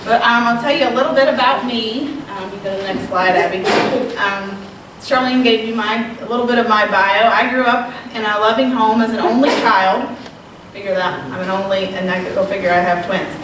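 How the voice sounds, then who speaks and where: A woman's voice: mid-range voice, fairly even intonation, brisk. A woman in her thirties from Southwest Virginia with a slight Southern accent, giving a casual, self-deprecating talk at a women's retreat. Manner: conversational, self-deprecating, with frequent ums. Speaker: retreat speaker. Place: large echoey room. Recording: very echoey, distant, noisy room recording.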